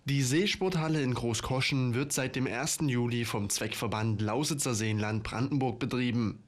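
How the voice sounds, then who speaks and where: A man reading a local news item in a plain, steady, scripted narration style. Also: medium pitch, moderate pace. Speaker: news reporter.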